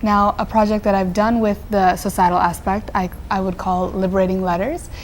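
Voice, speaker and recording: A woman's voice: medium pitch, flat delivery, brisk, a little hiss or hum. A teenage girl from Nepal, a nonprofit founder answering interview questions earnestly, with frequent fillers and restarts. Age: teens.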